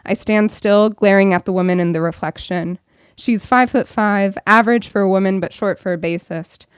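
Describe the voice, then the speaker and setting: A woman's voice: mid-range voice, moderate pace, clear, resonant tone, dry recording, clean studio sound. A young woman, a bookstore events director, welcoming an audience and then reading her own fiction aloud at a store event.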